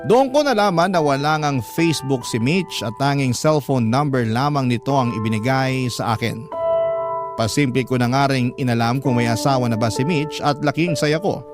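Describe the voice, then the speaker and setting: A man's voice: medium pitch, lightly acted. An actor with a Filipino accent playing a wistful, hopeful man narrating his lost love in a radio drama. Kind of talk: scripted first-person radio drama narration.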